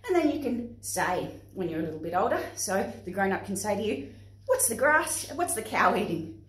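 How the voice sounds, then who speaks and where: A woman with an Australian accent presenting to young children and parents in an expressive, instructive way. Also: medium pitch, moderate pace. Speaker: playgroup facilitator and presenter. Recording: echoey room, distant microphone.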